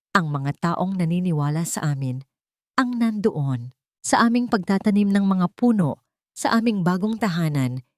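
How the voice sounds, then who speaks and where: Woman narrator with expressive, lively intonation. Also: lowish voice, dry recording, clean studio sound. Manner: sentimental, earnest, dramatic storytelling. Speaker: story narrator. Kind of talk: scripted first-person romance narration.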